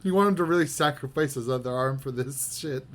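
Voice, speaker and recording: A man co-hosting a podcast, chatting casually. He is laid-back, laughs easily and lets his sentences trail off quietly. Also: mid-range voice, brisk. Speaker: podcast co-host. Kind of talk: casual chat.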